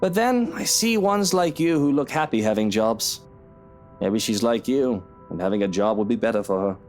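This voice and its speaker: A male actor plays a cheeky, moody husband in a visual novel. His pitch swings widely as he speaks in teasing, slangy, informal British-style phrases. Music plays behind him. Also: lively intonation, normal speed, lightly acted. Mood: musing, earnest. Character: cheeky, moody married man, musing about his wife. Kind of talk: visual novel game voice acting.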